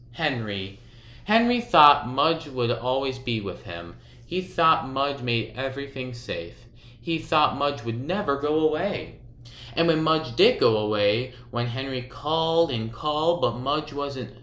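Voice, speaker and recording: Male children's narrator: very lively intonation, unhurried pace, expressive emphasis, recorded in a roomy space. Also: mid-range voice. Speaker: storybook reader. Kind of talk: children's read-aloud narration.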